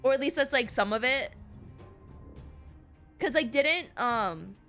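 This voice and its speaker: A woman game streamer giving casual, rambling live commentary in an excitable, giggly way, on a close mic. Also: sits high, slow pace, faint music behind.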